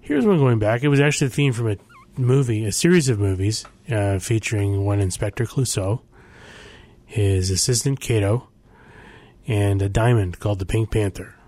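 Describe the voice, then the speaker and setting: A man's voice: lowish voice, normal speed, warm tone. A middle-aged man hosting, chatting casually between songs with rambling, sarcastic asides and frequent self-corrections. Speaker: host.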